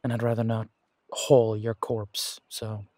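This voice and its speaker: A man playing a menacing, wry pirate captain in a roleplay audio, speaking in a taunting, deliberate drawl with dramatic pauses. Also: lowish voice, monotone, a little mellow, no echo, clean studio sound, close to an everyday voice. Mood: wry menace. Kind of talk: scripted roleplay audio acting.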